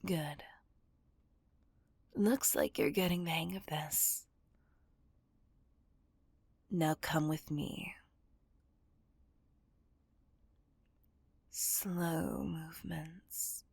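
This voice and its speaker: A woman playing a menacing, dominant villain kidnapper in a whispered ASMR roleplay, commanding and mocking in a breathy hush close to the microphone. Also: low voice, fairly even intonation, slow, long pauses, dry recording, full character voice. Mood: cold, threatening.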